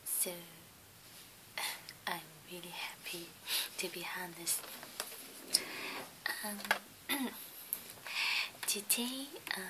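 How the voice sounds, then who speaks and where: A woman whose first languages are Cantonese and Mandarin, hosting a soft-spoken ASMR chat from home in a breathy whisper, hesitant and pausing often. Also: on the low side, noisy background. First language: Cantonese and Mandarin Chinese. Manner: hesitant, simple phrasing with many pauses. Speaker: ASMR channel host. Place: at home at her computer. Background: faint keyboard typing clicks.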